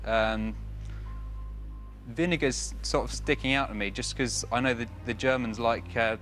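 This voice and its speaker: A twenty-year-old man, a contestant on a quiz show, hesitantly reasoning his way through a question out loud. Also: medium pitch, fairly even intonation, unhurried, faint music behind. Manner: hesitant, reasoning aloud with fillers. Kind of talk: thinking aloud on a quiz show.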